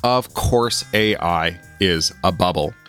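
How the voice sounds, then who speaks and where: A man's voice: sits low, takes it slowly, fairly bright tone, clear, resonant tone, soft background music. A man hosting a solo podcast, speaking articulately and wryly as he shares updates and reads his own writing aloud. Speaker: podcast host and author.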